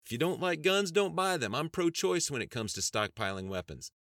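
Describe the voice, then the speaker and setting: A man's voice: lowish voice, expressive, quick pace, a bit bright, no room sound, clean studio sound. A man voicing a defiant, brash congressman who debates emphatically in a narrated political novel. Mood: defiant, emphatic. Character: defiant, brash male pro-gun congressman.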